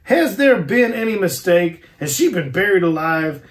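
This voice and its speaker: A man voicing an anguished, outraged young nobleman who cries out in high, shouting protest. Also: very animated, normal speed, roomy sound. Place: garage.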